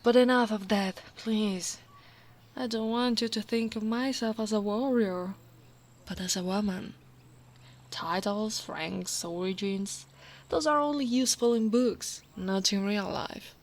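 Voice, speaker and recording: Slow, tender orc woman guard, acted by a woman. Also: mid-range voice, lightly acted. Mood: earnest, hopeful. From Italy. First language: Italian. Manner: slow, intimate, often dropping to a whisper. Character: tall female orc royal guard, tender, devoted suitor. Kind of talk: ASMR roleplay, spoken softly to the listener.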